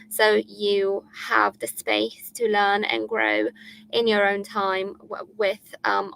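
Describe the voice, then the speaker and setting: Young woman presenter, gravelly fry throughout, hesitant delivery, faint noise. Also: mid-range voice, a bit bright, low-quality recording. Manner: hesitant, many ums. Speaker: intern presenter. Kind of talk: informal presentation.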